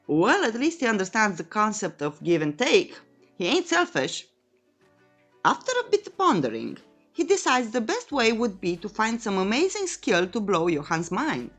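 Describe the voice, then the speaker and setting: Female recap narrator, cheeky storyteller, mid-range pitch with lively swings, faint music underneath. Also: moderate pace. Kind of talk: scripted comic recap narration.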